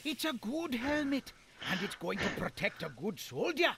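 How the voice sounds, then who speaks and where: A man's voice: very high-pitched, big pitch swings, moderate pace, gravelly, background noise, exaggerated voice. An actor playing a pompous old male gnome commander in a game, blustery and theatrical.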